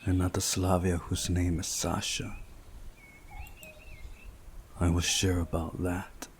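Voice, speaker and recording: A man reading a visual novel aloud as a playthrough host, in a measured, deliberate way with pauses. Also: deep voice, little pitch movement, a little hiss or hum. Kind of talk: scripted narration of a visual novel with commentary.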